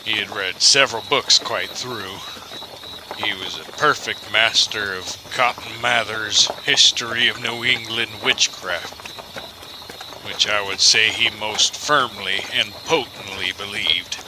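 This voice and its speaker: A man narrating an old tale for an audio drama in a measured, dramatic storybook style. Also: fairly low pitch, noisy background.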